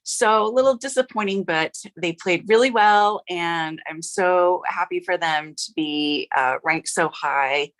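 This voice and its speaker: A middle-aged woman with a low, slightly rough voice chats through a live craft stream. She is upbeat and casual and peppers her talk with fillers. Her tone stays friendly and unhurried. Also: a bit bright. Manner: chatty, upbeat, many filler "uh"s. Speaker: host of a live card-making stream. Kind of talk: casual livestream chat.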